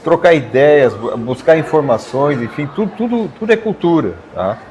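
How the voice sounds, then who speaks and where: A man speaking casually on a live stream, warmly inviting listeners to come to the club's meetings. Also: on the high side, very animated, moderate pace, slight background noise. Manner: friendly and inviting, adds short agreements. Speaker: car club member.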